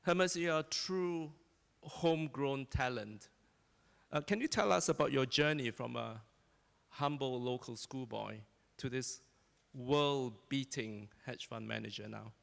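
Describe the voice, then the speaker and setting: Gravelly-voiced man moderating a panel. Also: medium pitch, measured pace, a bit bright, in a large hall. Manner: joking, scripted introductions. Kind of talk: panel interview on stage. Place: conference hall.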